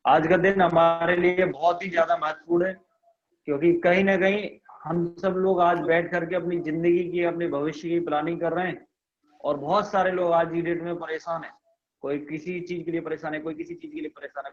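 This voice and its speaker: A man's voice: high voice, lo-fi sound. A man running a motivational business training on a video call, repeating his points with emphasis and putting questions to the audience.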